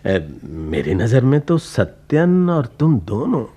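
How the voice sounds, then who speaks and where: Casting: a sly drinking boss, slow and wheedling, pitch lurching up and down, radio drama. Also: male voice, sing-song, mellow, slightly rough, heavily acted. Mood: sly coaxing. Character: lecherous, coaxing drunkard employer, a grown man. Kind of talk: Hindi radio play acting.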